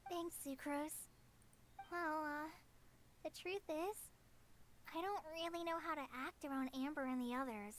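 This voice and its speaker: A woman's voice: high-pitched, unhurried, long pauses. A woman voicing a shy, anxious teenage ranger trainee in an anime game, speaking hesitantly with nervous stammers. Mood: awkward.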